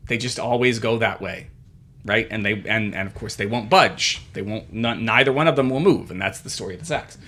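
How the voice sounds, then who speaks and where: Bright-voiced man rambling in casual chat. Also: fairly low pitch. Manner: rambling, halting, full of fillers and restarts. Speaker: podcast co-host.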